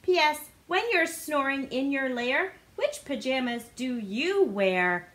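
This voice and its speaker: A woman reading a storybook aloud to young children in an animated, sing-song way, often putting questions to the listeners. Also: sits high, takes it slowly. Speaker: children's read-aloud presenter. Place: living room indoors. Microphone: device camera a short distance away.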